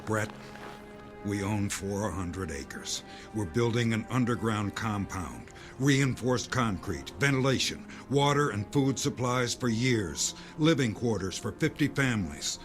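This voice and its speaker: Earnest doomsday believer, low voice, grave and insistent, secretive, audio drama, music underneath. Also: male voice, expressive, measured pace.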